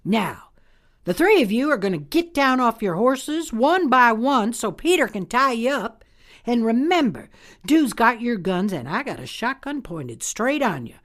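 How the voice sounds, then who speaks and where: A woman's voice: on the high side, sing-song, a little slow. A woman playing a bossy old outlaw mother fish with an in a cartoon audio drama. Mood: bossy, menacing. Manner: bossy, threatening.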